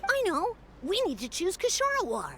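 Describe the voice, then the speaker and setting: A woman voicing an excitable, wide-eyed child in an anime-style video game. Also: very high-pitched, moderate pace, a little hiss or hum, full character voice. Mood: determined. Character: excitable, wide-eyed child who loves paper toys.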